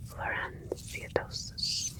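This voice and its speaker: Whispering alien scientist played by a woman. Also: close to an everyday voice. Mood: calm, pleased. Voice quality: breathy, soft whisper. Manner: soothing, unhurried, clinical yet kindly, halting phrases. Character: gentle alien scientist doctor tending a plant hybrid. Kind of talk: whispered ASMR sci-fi roleplay.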